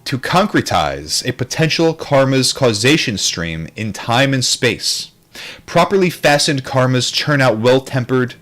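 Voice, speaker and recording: A man's voice: mid-range voice, expressive, a little slow, fairly bright tone. A man reading aloud from a book in an informal way, sometimes adding casual commentary and stumbling over difficult terms. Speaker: book reader, streamer.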